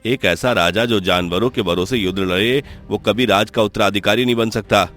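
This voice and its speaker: A man's voice: fairly low pitch, normal speed, a bit bright, faint music behind, voice pushed down, natural voice. A man playing a proud, envious prince in a cartoon story, with a heavy, solemn delivery. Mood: defiant. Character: envious princely cousin, bitter, proud, deep-voiced. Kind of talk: Hindi cartoon moral-story voice acting.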